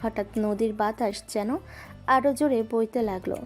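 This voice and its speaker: A woman narrating a romantic audio drama in a smooth storytelling manner. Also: a little high, steady tone, normal speed, soft background music.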